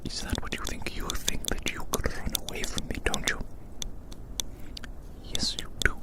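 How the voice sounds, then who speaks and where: An ASMR performer whispering breathily as a gloating giant toying with tiny prey, savouring and repeating phrases with playful menace. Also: moderate pace. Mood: domineering, gloating.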